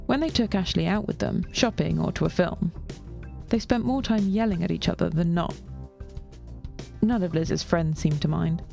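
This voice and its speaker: A woman narrating scripted fiction in a measured way, with dry wit. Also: lowish voice, vocal fry, warm tone, faint music behind.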